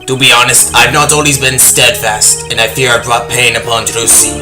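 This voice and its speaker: A man playing a proud, theatrical stage magician with formal, measured phrasing in a game fandub, with music behind him. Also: mid-range voice, flat delivery, natural voice. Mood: grave, confessional. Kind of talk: dramatic dialogue in a video game fandub. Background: prominent music.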